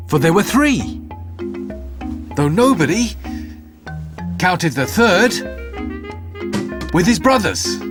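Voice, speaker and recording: A male storyteller narrates a scripted fairy tale. His voice sits a little high and moves with great variety, and music plays behind him.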